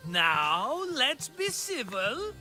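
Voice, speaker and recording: Very high-voiced, theatrical hustler. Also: male voice, drawn-out words, faint music behind, full character voice. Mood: nervous wheedling. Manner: theatrical, ingratiating, animated. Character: wheedling, theatrical, scheming male small-time hustler.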